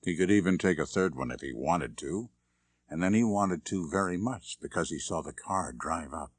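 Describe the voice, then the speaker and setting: Deep-voiced male suspense narrator. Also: expressive, moderate pace, breathy edge, no room sound. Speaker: audiobook narrator. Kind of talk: dramatic scripted narration of a suspense novel.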